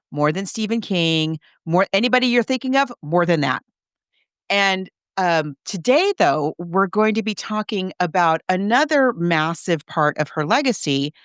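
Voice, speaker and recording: A woman with a slightly low, clear voice hosts a casual podcast. She talks in a chatty, emphatic way and thinks aloud as she goes. The sound is close and dry. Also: fairly bright tone, dry recording. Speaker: podcast co-host.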